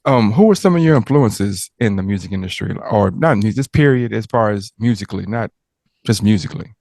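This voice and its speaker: Rambling, joking man host with a low voice. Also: animated, a little fast, vocal fry, a little mellow, no room sound, studio quality. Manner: rambling, joking, prone to tangents and stammers. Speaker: interviewer and host. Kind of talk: casual interview chat.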